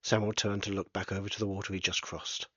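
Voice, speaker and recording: A man's voice: sits low, level tone, quick pace, fairly bright tone, husky, no room sound, highs cut off. A man reading aloud from his own novel in a plain, unpolished way. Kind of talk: storybook narration.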